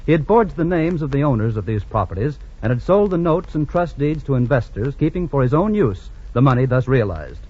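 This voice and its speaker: An American man reading a scripted sponsor message as a 1940s radio announcer, formal and measured. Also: mid-range voice, very animated, mellow, breathy edge. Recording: muffled vintage radio recording.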